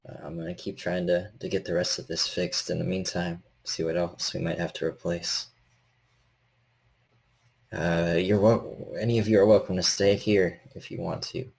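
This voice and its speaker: Man acting weary, halting handyman, low and gravelly. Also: little pitch movement, a little mellow, heavily acted. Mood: helpful, hesitant. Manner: hesitant, halting, stammering. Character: nervous, weary man doing repairs, cautious and helpful.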